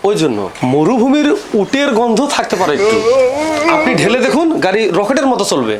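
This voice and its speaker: A man's voice: high voice, big pitch swings, brisk, loud music behind the voice. A young man playing a desperate, lovestruck suitor in a comic drama, pleading and melodramatic. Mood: triumphant, boastful.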